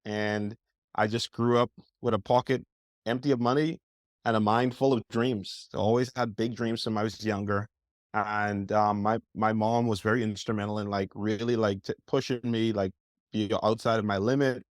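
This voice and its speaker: A man's voice: fairly low pitch, steady tone, normal speed, no echo, studio quality. A young man, a podcast guest telling his story in an eager, rambling way, full of fillers. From Bahamas. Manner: eager, rambling, heavy use of like and you know. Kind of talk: casual interview storytelling.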